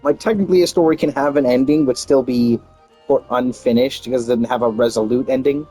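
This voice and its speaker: A man's voice: mid-range voice, a little fast, mellow. A man streaming a game with casual, informal commentary, rambling off on tangents. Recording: faint game music behind.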